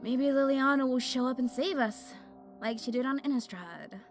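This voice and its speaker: A woman's voice: high voice, moderate pace, faint music behind. A woman playing an impulsive young fire mage with a casual, youthful way of talking in a fantasy audio drama. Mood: dejected.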